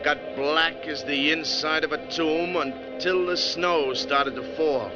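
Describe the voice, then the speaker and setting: A man's voice: a little high, fairly even intonation, slow pace. A man playing a plain-spoken bus driver in a 1940s radio drama, talking in a folksy, colloquial way. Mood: grim recounting. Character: plain-spoken male bus driver recounting a harrowing trip. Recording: dull 1940s radio recording, music behind.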